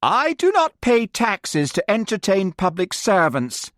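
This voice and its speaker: A man voicing an imperious heavy-set upper-class woman with a high, loud, grating voice. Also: huge pitch swings, a little slow, no room sound, clean studio sound, full character voice. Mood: haughty. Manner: haughty, demanding.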